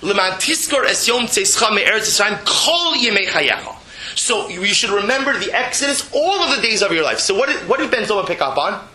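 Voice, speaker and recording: A man's voice: sits high, very animated, brisk, a crowd in the background, low-quality recording. A man teaching as a rabbi, reading and explaining religious text emphatically, repeating phrases and posing rhetorical questions. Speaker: rabbi giving a Torah class.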